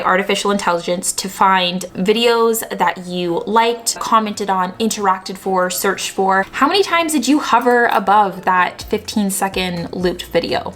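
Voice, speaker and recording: Chatty Canadian woman vlogger. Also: medium pitch. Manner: chatty, opinionated, emphatic asides. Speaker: YouTube vlogger. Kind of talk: scripted explainer commentary.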